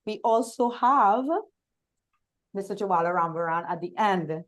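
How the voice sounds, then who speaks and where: A woman's voice: medium pitch, unhurried, fairly bright tone. A woman with a Trinidadian accent, moderating a hybrid panel session and introducing the speakers formally, with some fillers. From Trinidad and Tobago. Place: outdoor hot venue, hybrid session.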